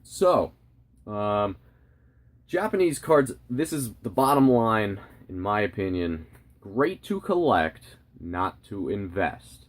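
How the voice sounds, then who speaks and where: A man with a fairly low voice speaks as the host of a collecting channel. He gives his opinions casually and straight to the viewer. He leans on key words and repeats phrases to press them home. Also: sing-song, takes it slowly.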